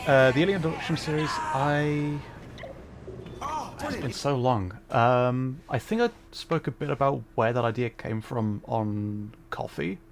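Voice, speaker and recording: A man's voice: mid-range voice, a little slow, faint music behind. Rambling young male streamer. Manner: rambling, hesitant, many fillers. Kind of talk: casual gaming livestream chat.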